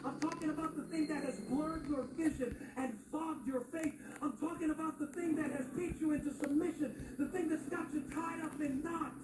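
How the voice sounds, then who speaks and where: A woman's voice: high voice, fairly even intonation, normal speed, long pauses, a crowd in the background, low-quality recording. A woman preaching a sermon in an emphatic manner, building on repeated phrases. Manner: emphatic, rhetorical repetition.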